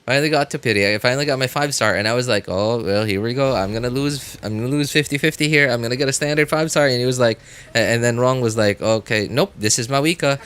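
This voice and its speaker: A man chatting casually to viewers as a game livestreamer, rambling and often repeating himself in a halting way. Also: mid-range voice, fairly bright tone, clean tone.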